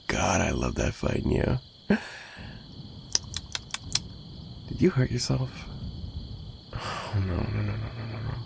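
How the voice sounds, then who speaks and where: A man acts out a dominant mafia boss in an intimate ASMR roleplay. His voice is very low and crackles with gravel. He is smug, flirtatious and quietly menacing as he taunts his captive, over a faintly noisy background. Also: very animated, measured pace, full character voice. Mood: possessive, controlling.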